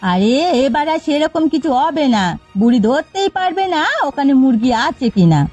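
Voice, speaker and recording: A woman's voice: high voice, sing-song, normal speed, darkish tone, muffled recording, soft background music. A woman voicing a scheming, nagging village wife in a folk-tale cartoon. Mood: scheming, reassuring.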